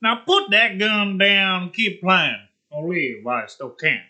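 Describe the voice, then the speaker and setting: A man playing a folksy cowboy gambler, loud and scolding, with drawn-out words in a Western movie style. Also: sits high, animated, pitched above the performer's own voice, full character voice. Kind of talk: Western movie character script reading.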